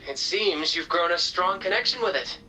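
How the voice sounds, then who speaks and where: A man's voice: high-pitched, moderate pace, sharp tone, faint music behind, rough recording. A man voicing a flamboyant, breezy young seafaring adventurer in a video game, theatrical and playful. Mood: breezy, teasing. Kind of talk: video game character dialogue.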